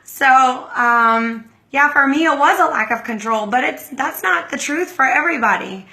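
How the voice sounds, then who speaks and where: A hoarse woman hosts a casual livestream, rambling warmly to viewers and stopping to greet them by name. Her voice sits a little high and bright under the strain of the hoarseness. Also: moderate pace, low-quality recording. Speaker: livestream host.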